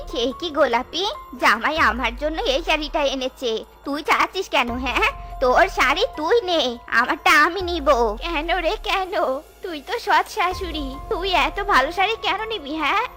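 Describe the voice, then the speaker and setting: A woman voicing a dramatic, shrill cartoon animal mother-in-law in exaggerated family dialogue. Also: pushed and bright.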